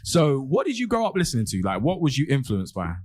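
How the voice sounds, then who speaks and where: A man interviewing a guest, quick and encouraging, with frequent "yeah" replies. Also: mid-range voice, huge pitch swings, darkish tone. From West London. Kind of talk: casual interview chat.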